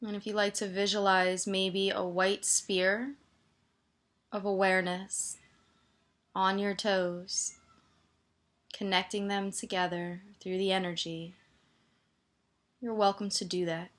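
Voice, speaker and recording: A woman meditation teacher gently guiding a body scan, soothing and leaving pauses between her instructions. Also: medium pitch, level tone.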